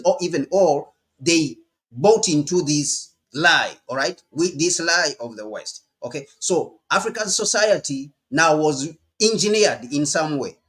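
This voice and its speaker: A man's voice: on the high side, fairly bright tone. A man from Uganda giving emphatic political commentary, often repeating himself and checking in with "all right?".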